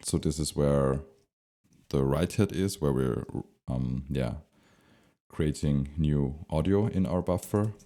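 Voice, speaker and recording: A man with a very deep, mellow voice presents a software tutorial at a slow pace. He explains step by step without a script, hesitating now and then as he thinks out loud.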